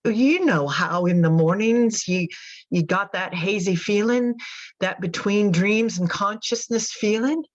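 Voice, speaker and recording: A woman acting in a radio drama as an anguished, guilt-ridden mother in prison, speaking in a halting, confessional way. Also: medium pitch, highs cut off, lightly acted. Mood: somber, resigned. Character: guilt-ridden imprisoned mother who killed her daughters.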